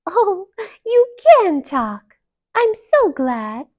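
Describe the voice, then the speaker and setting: Woman narrator doing a high, sweet young princess, gentle and bright. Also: very animated, measured pace, dry recording, clean studio sound. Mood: cheerful.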